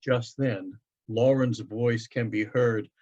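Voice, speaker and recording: A man reads a literary story with tender, deliberate care. His pace is slow and his pitch mid-range, and the audio is a little dull and lo-fi. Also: fairly even intonation, darkish tone. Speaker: storyteller reading a written piece. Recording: dull, lo-fi online event audio.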